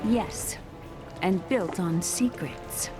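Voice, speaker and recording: A woman's voice: on the low side, extremely slow, over loud music. An actress playing a studious, earnest young woman in a video game. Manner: thoughtful, earnest.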